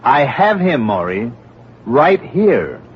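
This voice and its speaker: An actor playing a cool, tough insurance investigator with dry, terse delivery in an old radio detective show. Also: male voice, medium pitch, sing-song, takes it slowly. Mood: dry, patient. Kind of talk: old-time radio detective acting and narration. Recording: noisy, muffled old radio recording.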